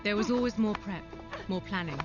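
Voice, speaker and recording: A woman playing a no-nonsense super-soldier giving a matter-of-fact military debrief in an animated sci-fi drama. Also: mid-range voice, brisk, close to an everyday voice. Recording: slightly dull, music behind.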